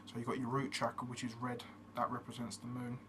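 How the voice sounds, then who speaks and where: A man hosting his own channel, giving a casual explanatory monologue in a steady way, with frequent fillers. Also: medium pitch, a little fast. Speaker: channel host. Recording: noticeable background noise.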